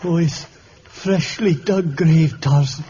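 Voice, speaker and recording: Slow halting tribesman dad, high anxious voice, broken english, muffled old radio serial. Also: dark tone. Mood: sombre, halting. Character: frightened tribesman, grieving father pleading for his life. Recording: muffled old radio recording, faint noise.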